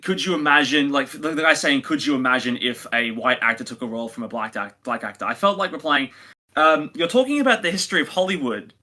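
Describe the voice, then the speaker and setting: A man with a bright, forward voice at middling pitch. He talks casually as a podcast co-host, pushing his opinions with emphatic phrasing. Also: quick pace. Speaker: podcast co-host reviewing audio dramas.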